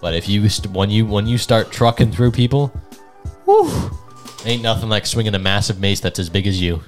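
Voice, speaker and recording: Casting: man with a lowish voice, enthusiastic, slangy, foul-mouthed podcast host in casual chat, light music behind. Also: moderate pace. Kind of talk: casual chat among friends, game ranking. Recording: background music under the voice.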